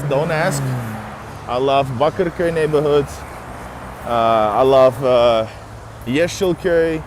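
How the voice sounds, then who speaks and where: A man's voice: mid-range voice, slight background noise. A man from Finland, native in Russian, chatting casually with viewers on a live stream while walking outdoors. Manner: chatty, greets viewers by name. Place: city street outdoors.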